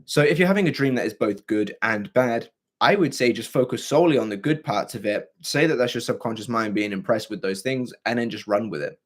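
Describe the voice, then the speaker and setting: A hoarse young man with a scratchy, throaty voice, hosting a livestream and chatting casually with viewers. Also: mid-range voice, fairly quick. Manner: chatty and rambling, with frequent fillers and throat clearing. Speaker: livestream host. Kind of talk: casual livestream chat answering viewer comments.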